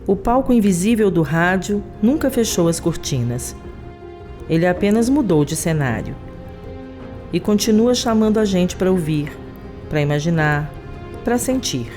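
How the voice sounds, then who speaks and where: A woman's voice: fairly low pitch, lively intonation, a little slow, warm tone. A Brazilian woman reading scripted, poetic podcast narration with music behind her.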